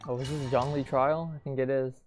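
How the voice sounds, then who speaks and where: A young man giving casual, excitable commentary while playing a video game. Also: medium pitch, fast. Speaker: gaming youtuber. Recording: game music behind.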